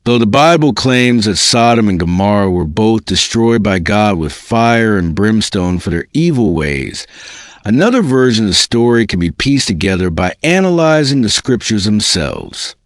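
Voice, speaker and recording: Low-voiced man narrating with expressive range. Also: normal speed, dry recording, clean studio sound. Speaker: voice-over narrator reading a book. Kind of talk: scripted dramatic audiobook narration.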